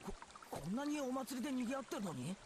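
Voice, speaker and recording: A man's voice: extremely high pitch, very animated, racing, background noise. An actor voicing an agitated, insistent teenage boy in a mystery game, with a stammer. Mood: nervous.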